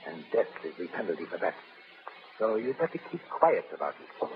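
An actor playing a callous, cold scientist villain in a 1930s radio drama, clipped and formal. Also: male voice, low-pitched, big pitch swings, fairly quick, a little hiss or hum. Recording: muffled old radio broadcast.

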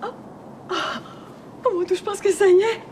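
A woman's voice: extremely high pitch, unhurried, a little hiss or hum. A flustered mother in labour. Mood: panicked. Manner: panting, moaning, calming herself.

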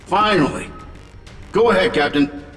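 An actor playing a wry, confident superhero leader in a video game, with sardonic, clipped delivery. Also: male voice, sits high, unhurried, fairly bright tone. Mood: brisk, focused. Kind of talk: video game cutscene acting. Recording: long reverb, music behind.

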